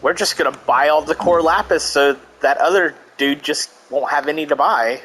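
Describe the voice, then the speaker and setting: A man's voice: on the high side, normal speed, a bit bright, faint music behind. A man giving informal, sweary commentary as he hosts a let's play. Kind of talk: casual gaming commentary.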